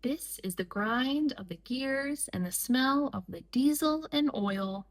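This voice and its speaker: A woman reading a picture book aloud for young children in deliberate, measured phrases with pauses between short lines. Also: sits high, expressive. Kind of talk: slow read-aloud storytime for young children.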